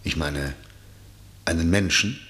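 An actor in a German radio play playing a reserved senior public prosecutor, asking questions in a formal, measured, slightly hesitant way. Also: male voice, low voice, a bit bright. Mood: restrained probing.

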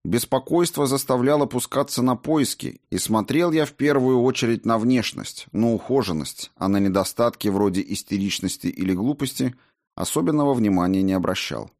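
A man's voice: mid-range voice, moderate pace, a little mellow. A man reading a first-person book aloud as scripted narration. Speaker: audiobook narrator.

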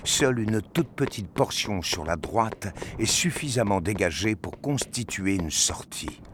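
A man's voice: fairly low pitch, expressive, a little slow, fairly bright tone, slight background noise. A man giving a dramatic scripted narration of a science fiction action story.

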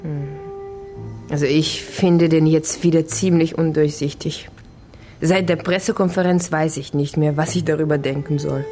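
A woman's voice: low voice, steady tone, normal speed, dark tone, faint music behind, lightly acted. An actress playing a sceptical, inquisitive woman working through a case, direct and reasoning, in a naturalistic radio crime drama.

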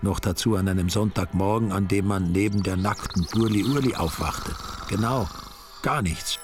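An actor playing a wry, deadpan storyteller who narrates a radio drama with an Austrian accent. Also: male voice, low-pitched, moderate pace, music in the background, close to an everyday voice. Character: wry, ironic male storyteller.

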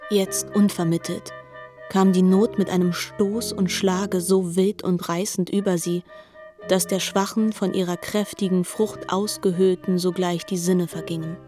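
A woman narrating a literary radio drama in a grave, deliberate way. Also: on the low side, flat delivery, mellow. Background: faint music.

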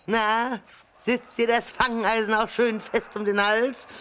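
A man playing a gaunt, taunting old witch woman in a children's radio play, with a creaky, sharp voice. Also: very high-pitched, little pitch movement, measured pace, a little hiss or hum, heavily acted. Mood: frenzied shouting. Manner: taunting, commanding.

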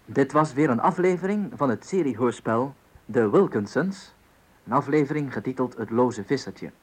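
A man's voice: medium pitch, animated, moderate pace, slight background noise. A man announcing scripted closing credits for a radio drama serial.

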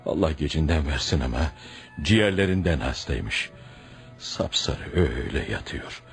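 An actor playing a kindly, pious village father near seventy, unhurried and fatherly, in a Turkish radio play. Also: rumbling low, animated, creaky, faint music behind, full character voice. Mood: concerned. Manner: unhurried, fatherly, sighing interjections. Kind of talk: Turkish radio theatre acting.

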